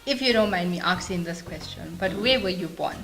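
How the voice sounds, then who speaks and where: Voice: mid-range voice, lively intonation, fairly quick. An interviewer asking short, encouraging questions. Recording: echoey with loud music.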